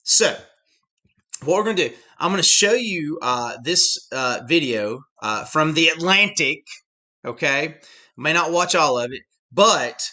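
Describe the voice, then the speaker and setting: A middle-aged man with a Southern American accent, teaching a class in a chatty, animated way. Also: a little high, unhurried. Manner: chatty, animated, reacts with surprised interjections. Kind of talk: classroom lecture.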